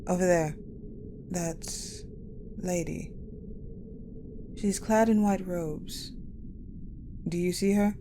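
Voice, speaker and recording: An ice-queen general acted by a woman, with a lowish voice and very unhurried delivery. She is haughty, guarded and possessive, weighing each phrase with deliberate pauses in a dark roleplay drama. Clear ambient noise sits behind her voice. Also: fairly even intonation. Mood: guarded, wistful. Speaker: audio roleplay voice actor. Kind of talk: dramatic audio roleplay monologue. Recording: clearly noisy, ambient sound bed.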